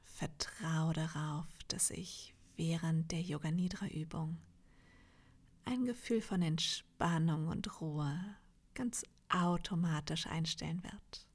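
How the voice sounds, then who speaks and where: Soft, breathy woman guiding meditation slowly. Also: low-pitched. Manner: soft, breathy, half-whispered, soothing delivery with long pauses. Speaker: yoga nidra meditation guide. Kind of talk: guided meditation.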